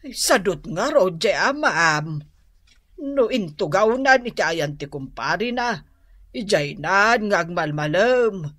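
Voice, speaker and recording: A woman playing a middle-aged rural mother in a comedy radio drama, with an Ilocano accent and a drawn-out, hesitant, exclaiming way of talking. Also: mid-range voice, lively intonation, fairly bright tone, no echo. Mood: hesitant, wheedling. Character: whiny, wheedling rural mother, easily flustered, laughing.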